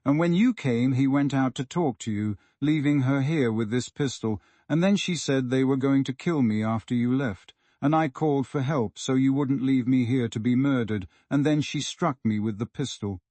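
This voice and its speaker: A man's voice: medium pitch, moderate pace, few pauses, dark tone, no echo, natural voice. A man voicing a frightened, indignant man who protests and accuses melodramatically in a detective novel. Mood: indignant, accusing.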